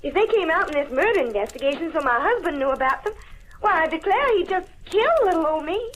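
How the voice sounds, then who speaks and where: A very high, girlish voice: a flighty belle in a vintage radio mystery. She wheedles coyly, with faint noise on the old recording. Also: big pitch swings, fast, a bit bright, heavily acted, low-quality recording. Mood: coy, pleading. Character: coy, flighty Southern belle wife, a murder suspect. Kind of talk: old-time radio mystery comedy acting.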